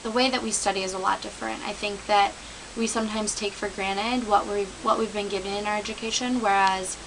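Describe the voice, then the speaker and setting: A woman's voice: mid-range voice, steady tone, fairly quick. A young woman, a university senior, giving composed, thoughtful interview answers. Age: young adult. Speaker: student interviewee. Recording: clear hiss.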